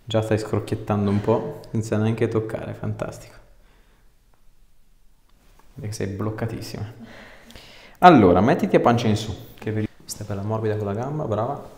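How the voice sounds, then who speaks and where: A man's voice: lowish voice, steady tone, normal speed, pauses often, a little mellow. A man, a chiropractor giving short, informal, reassuring directions to a patient as he works. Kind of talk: hands-on clinical instructions and casual chat with a patient. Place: small indoor treatment room. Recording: echoey room with noticeable background noise.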